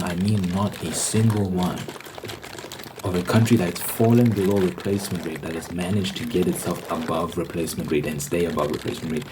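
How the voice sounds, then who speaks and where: A man's voice: low-pitched, noisy background. A man giving a casual, rambling monologue as a vlogger, repeating phrases for emphasis. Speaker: ASMR vlogger.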